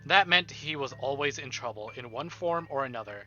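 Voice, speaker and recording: A man streaming a visual novel, reading text aloud and commenting with free swearing in an animated manner. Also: medium pitch, a little fast, highs cut off. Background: game music.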